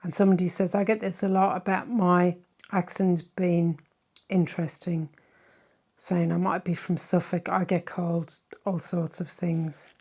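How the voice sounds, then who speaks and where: A middle-aged woman with a softened Irish accent, chatting casually as she answers viewer questions. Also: fairly low pitch, flat delivery, unhurried, slightly rough. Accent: Irish, softened by decades in southern England. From Ireland. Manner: chatty and warm-hearted, many "um"s and tag questions. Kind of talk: casual Q&A chat.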